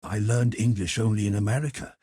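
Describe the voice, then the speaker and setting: A man's voice: on the low side, steady tone, a little fast, dark tone, no echo, clean studio sound, voice pushed up, lightly acted. A man reading a modest, earnest young man. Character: polite, earnest young man far from home.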